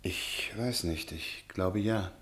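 A man's voice: sits low, takes it slowly, a bit bright. An actor playing a shy, hesitant male history professor, stammering and unsure, in an old German radio play.

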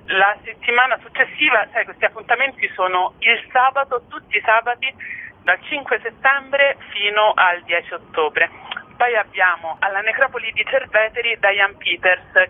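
A woman speaking as an interview guest and festival curator, presenting events in a conversational way. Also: medium pitch. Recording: narrow, tinny phone line. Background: phone call to a radio show.

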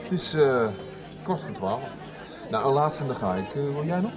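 An actor playing a chatty friend over a late-night beer in a radio soap, casual, hesitant and musing. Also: male voice, mid-range voice, big pitch swings, normal speed, close to an everyday voice. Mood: casual, coaxing. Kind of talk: naturalistic radio soap acting. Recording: radio drama with music and crowd behind.

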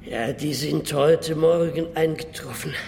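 A man's voice: on the high side, unhurried, vocal fry. An actor playing an earnest, talkative middle-aged wine merchant and father in a radio play, explaining himself. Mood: proud, eager. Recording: reverberant cellar-like room, faint noise.

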